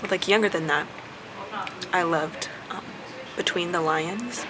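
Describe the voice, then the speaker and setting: A woman's voice: fairly low pitch, measured pace, background noise. A young adult American woman from the South chatting casually as a vlogger, answering tag questions while chewing gum. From southern United States.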